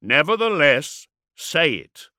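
A man's voice: medium pitch, animated, unhurried, bright, forceful tone, no echo, clean studio sound, voice pushed up. A man voicing an ancient villainous warsmith in an audiobook, mocking, cunning and defiant, with articulate, projected taunts. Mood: firm demand. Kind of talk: audiobook character acting.

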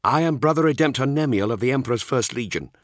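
A man's voice: mid-range voice, expressive, fast talker, dry recording, clean studio sound, voice pushed up, close to an everyday voice. A man voicing a stern, wary male space marine leader who speaks curtly and snarls. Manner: snarling, curt.

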